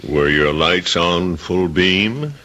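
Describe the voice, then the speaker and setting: An actor playing a formal, authoritative coroner in a radio drama, official and deliberate. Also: male voice, deep voice, sharp tone, full character voice. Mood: stern, formal. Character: formal, authoritative coroner presiding over an inquest. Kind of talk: old-time radio drama acting.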